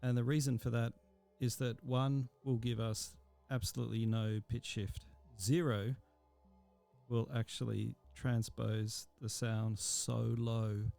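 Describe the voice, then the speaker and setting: A man giving an informal software tutorial as a teacher, explaining each step as he goes. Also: medium pitch, level tone, slow, creaky, soft background music.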